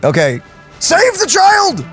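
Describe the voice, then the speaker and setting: A woman chats through a game playthrough with a very lively voice that swoops up and down. She gets animated and excitable, with faint game music under her. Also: very high voice. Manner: animated, excitable, quick to scold playfully. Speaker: gamer, channel co-host. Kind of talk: casual gameplay commentary.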